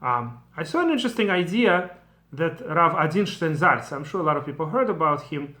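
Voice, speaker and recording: Man teaching, bright voice. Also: a little high, big pitch swings, brisk. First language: Russian. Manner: digressive, thinking aloud with asides. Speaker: Torah teacher. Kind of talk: explanatory lecture.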